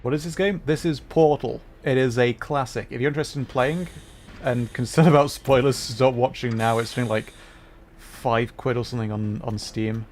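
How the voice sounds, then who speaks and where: A man's voice: medium pitch, a little hiss or hum. A man giving casual live game commentary and chatting to viewers as a streamer. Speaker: livestreamer playing a video game.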